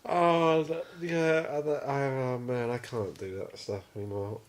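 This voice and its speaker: Guy in his twenties, co-hosting a chatty podcast, mid-pitched voice, rambles on and swears loosely. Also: steady tone, unhurried. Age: 20s. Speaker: podcast co-host. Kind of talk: casual chat.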